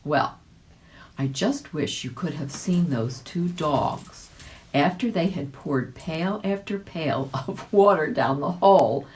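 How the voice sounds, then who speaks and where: Low-voiced woman reading a children's storybook. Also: animated, takes it slowly, darkish tone. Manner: chatty, checks in with the listener. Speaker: volunteer storybook reader. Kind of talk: children's storybook narration with casual asides.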